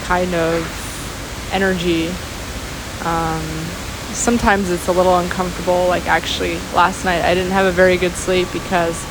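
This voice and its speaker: Low-voiced woman rambling over rushing water. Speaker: vlogger. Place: outdoors beside a waterfall. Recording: steady waterfall noise behind voice. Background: steady rushing water.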